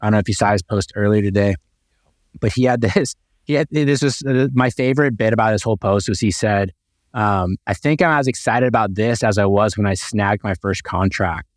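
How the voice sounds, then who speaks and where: A man's voice: on the low side, fairly quick, dry recording, clean studio sound. A man co-hosting a real estate podcast, chatting casually and upbeat with lots of fillers and restarts. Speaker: podcast co-host.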